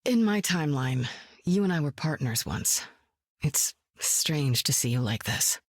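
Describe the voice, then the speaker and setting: A seasoned woman superspy with a cool, wry, self-assured delivery. Her voice sits a little low and is recorded close and dry, with no room around it. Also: animated, measured pace, no echo. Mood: cool, teasing. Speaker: game hero. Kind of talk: video game voice lines.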